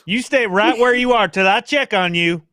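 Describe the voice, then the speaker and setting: A man playing a gruff, impatient, suspicious father in an old-time radio drama, talking in a curt, blustery way. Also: sits high, normal speed, bright, forceful tone.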